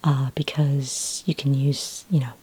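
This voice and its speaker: A woman speaking in a soft, breathy near-whisper, gently and unhurriedly playing a friendly, helpful librarian in an ASMR roleplay. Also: a bass voice, little pitch movement, a little slow.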